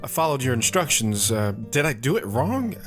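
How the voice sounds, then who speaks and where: A man playing a shy, hesitant wanderer who narrates his own story in an audio drama, reflective in tone, with soft guitar underneath. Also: mid-range voice, big pitch swings, normal speed, lightly acted. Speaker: narrator and guest.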